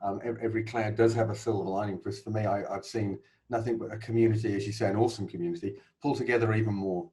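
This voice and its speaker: A man hosting a podcast interview, chatting easily and asking relaxed questions. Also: lowish voice, quick pace, hardly any pauses. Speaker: podcast host.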